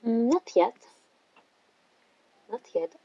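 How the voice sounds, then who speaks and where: A woman's voice: fairly high pitch, lively intonation, takes it slowly, mellow, slightly rough, no room sound, highs cut off. A woman from Cameroon who is a guest on a podcast, speaking English with a French accent in a shy, hesitant way. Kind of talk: casual interview.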